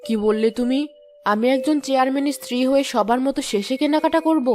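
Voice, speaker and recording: A woman's voice: high-pitched, brisk, close to an everyday voice. A woman playing a demanding, nagging village chairman's wife in a moral-story cartoon, with an insistent, complaining manner. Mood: annoyed, demanding.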